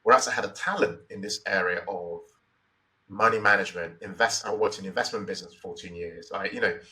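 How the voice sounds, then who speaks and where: A man's voice: deep voice, brisk, pushed and bright, recorded from a distance, rough recording. A Nigerian man in his forties, telling his story as an interview guest in a thoughtful, reflective way with many "you know" fillers. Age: 40s.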